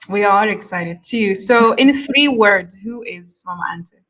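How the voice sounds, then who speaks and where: A woman hosts a podcast with an upbeat, eager manner. Her voice sits in a mid range, and she moves easily from a scripted welcome into relaxed conversation. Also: medium pitch, unhurried, poor audio. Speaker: podcast host. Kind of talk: scripted intro, then casual chat.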